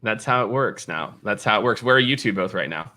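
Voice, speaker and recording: A man's voice: fairly low pitch, animated, a little fast, sharp tone. An American man hosting a casual livestream chat, talking informally and repeating phrases for emphasis. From Kansas City, United States. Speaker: host.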